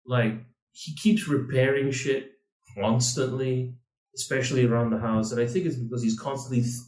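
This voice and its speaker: A man's voice: medium pitch, steady tone, normal speed, a little mellow, far from the mic. Rambling man talking in a roomy echo. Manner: rambling, many restarts and "like". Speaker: podcast co-host. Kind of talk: casual podcast chat.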